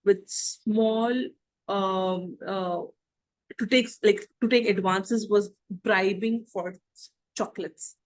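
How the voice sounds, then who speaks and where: A woman interviewing a guest, asking long, searching questions with frequent pauses and restarts. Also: mid-range voice, fairly even intonation, rough recording. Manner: hesitant, many fillers and restarts.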